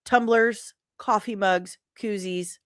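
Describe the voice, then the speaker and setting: A woman's voice: medium pitch, steady tone, slow pace, fairly bright tone, dry recording, studio quality. A woman hosting a podcast livestream, talking in a casual, chatty and playful way as she addresses her audience. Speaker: podcast host and indie comic creator. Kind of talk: casual livestream sign-off and promotion.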